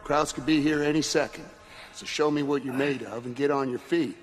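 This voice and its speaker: A man's voice: medium pitch, steady tone, brisk, faint music behind, lightly acted. An actor playing a protective older brother in a war game cutscene, insistent yet tender as he encourages. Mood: urging. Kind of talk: dramatic video game cutscene acting.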